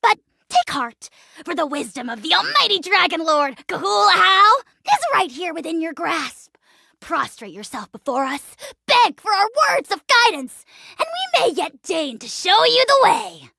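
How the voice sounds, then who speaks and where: A woman voicing a pompous, spiteful little dragon lord in a video game, with a shrill, creaky, cartoonish delivery and theatrical haughtiness. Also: very animated, measured pace, bright, forceful tone, no room sound, clean studio sound, exaggerated voice. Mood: grandiose, pompous. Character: tiny arrogant dragon lord, bombastic, spiteful, easily enraged. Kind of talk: video game character voice acting.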